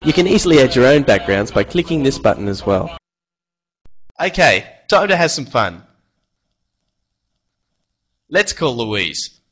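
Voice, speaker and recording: A young man narrating a scripted software tutorial in a casual, playful manner. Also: medium pitch, very animated, a little slow, long pauses. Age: young adult.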